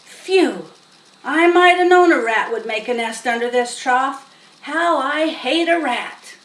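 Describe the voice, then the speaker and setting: A woman's voice: sits high, takes it slowly, a bit bright, voice pushed up. A woman voicing an exasperated, disgusted farmhand man in a storybook reading. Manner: disgusted, exclaiming. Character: exasperated farmhand man who hates rats. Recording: roomy, distant mic, faint noise.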